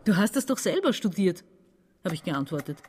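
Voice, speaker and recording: A woman's voice: on the low side, big pitch swings, fairly quick, a little hiss or hum. An actress playing an embittered, domineering woman around forty with an Austrian accent, emphatic and reproachful in a radio drama. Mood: wistful, proud. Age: 40s. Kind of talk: German-language radio drama.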